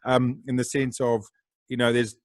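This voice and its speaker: A South African man hosting a podcast, talking loudly, fast and with excitement in casual interview chat. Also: mid-range voice, dry recording. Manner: loud, excitable, talks fast. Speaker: podcast host.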